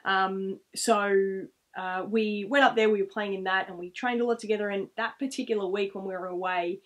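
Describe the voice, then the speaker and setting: Woman, thirties, Australian accent, medium pitch, casual vlog storytelling, reflective, rambling, recorded in a room at home. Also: steady tone, moderate pace. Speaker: vlogger. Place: small room at home, indoors, seated.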